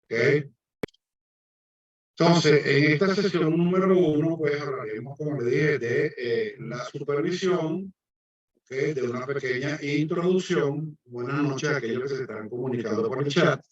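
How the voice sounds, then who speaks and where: A man's voice: fairly high pitch, a little slow. A man with a Venezuelan accent giving an online engineering lecture, with frequent hesitations and fillers. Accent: Venezuelan Spanish. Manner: hesitant, many fillers and restarts. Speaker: guest lecturer, civil engineer. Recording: echoey distant muffled mic.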